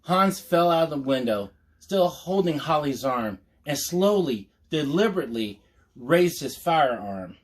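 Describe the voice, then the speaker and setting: A man's voice: a little high, sing-song, measured pace. A man reading a rhyming story aloud as a storyteller, expressively, with folksy, playful asides to his listeners.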